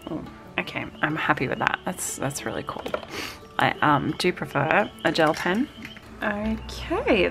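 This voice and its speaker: A woman's voice: medium pitch, vocal fry. Chatty woman with a stuffy cold. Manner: chatty and excitable, cooing over small items. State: slightly nasal from a cold. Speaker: vlogger unboxing a stationery subscription box. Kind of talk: casual unboxing chat.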